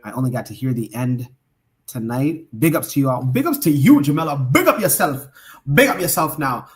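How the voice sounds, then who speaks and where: A man's voice: medium pitch, animated, a little fast. Enthusiastic man signing off. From Omaha, Nebraska. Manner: enthusiastic, hesitant with many fillers. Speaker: podcast co-host. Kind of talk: closing remarks and shout-outs.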